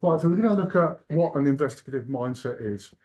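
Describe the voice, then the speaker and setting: A man's voice: fairly high pitch, lively intonation, fast, dark tone, muffled recording. An Englishman in his sixties giving a humorous, self-deprecating keynote talk at a conference. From England. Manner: joking, self-deprecating, chatty. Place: conference hall.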